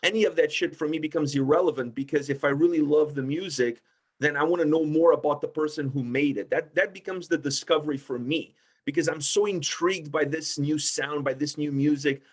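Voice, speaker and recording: A man with a fairly high voice talks in a casual roundtable. He is blunt and emphatic and peppers his talk with swearing. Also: fairly quick. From Portugal. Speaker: music interviewer with own video channel.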